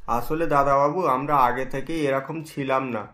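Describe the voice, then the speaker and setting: A man's voice: mid-range voice, fairly even intonation, normal speed, pushed and bright, soft background music, natural voice. A man voicing a poor middle-aged village husband and father, speaking in an earnest, worried way, recorded in an echoey room.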